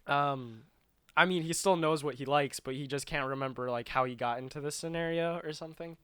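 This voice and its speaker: Clear, dry-miked man chatting casually. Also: fairly high pitch, a little fast, a bit bright, no echo. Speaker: video game show co-host. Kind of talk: casual chat about video games.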